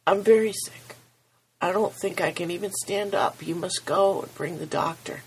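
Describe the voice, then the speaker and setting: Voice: mid-range voice, normal speed, a little hiss or hum, voice pushed up, close to an everyday voice, rough recording. A storyteller voicing a sick, tired mother who can barely speak. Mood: weak.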